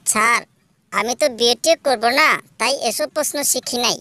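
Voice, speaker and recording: A woman's voice: on the high side, moderate pace, sharp tone, no room sound. A woman voicing a cheeky young pupil in a comedy cartoon. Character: cheeky young pupil with smart-aleck answers.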